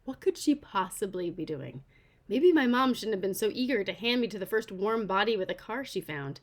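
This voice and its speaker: A woman reads a children's novel aloud as its author. Her delivery is animated and informal, and her pitch swings widely as she goes. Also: moderate pace. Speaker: author reading her own book aloud.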